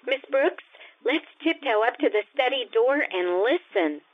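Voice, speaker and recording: Woman playing a high, animated teenage daughter. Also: a little slow. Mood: anxious. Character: earnest, anxious teenage daughter. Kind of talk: old-time radio comedy acting. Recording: tinny radio-play recording, faint noise.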